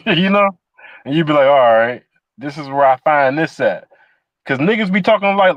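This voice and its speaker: A man's voice: sits high, a bit bright, no echo, poor audio. A man in his forties hosting a casual podcast chat, rambling through questions with lots of fillers and restarts. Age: 40s. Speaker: podcast host.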